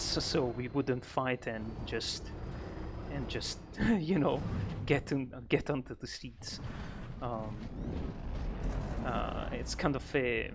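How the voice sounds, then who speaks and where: A man from northern Italy, chatting casually and hesitantly to his viewers during a game stream, with lots of ums and restarts. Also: mid-range voice. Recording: steady background noise.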